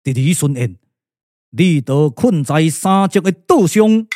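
A man voicing a grave older lord and strategist in a puppet radio drama, speaking slowly and with authority. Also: fairly high pitch, animated, dark tone, dry recording. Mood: grave, commanding. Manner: slow, deliberate, authoritative.

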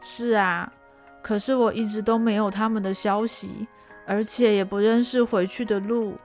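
A woman's voice: medium pitch, fairly even intonation, normal speed, a little mellow, soft background music, natural voice. A woman voicing a timid, earnest poor young boy in an audio drama, talking in a childlike way. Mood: sad. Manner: pleading, childlike.

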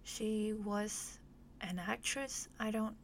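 A woman's voice: mid-range voice, slow, slight background noise. A woman whose first language is Cantonese, chatting casually in English as a podcast host, hesitant and often dropping to a near whisper. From Hong Kong or Cantonese-speaking region. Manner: soft-spoken, hesitant, often trails into a whisper. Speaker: podcast host, guest in this chat. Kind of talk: casual chat.

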